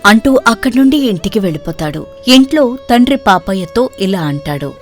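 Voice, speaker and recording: A woman's voice: medium pitch, lively intonation, normal speed, faint music behind. A woman narrating a scripted moral story as its storyteller.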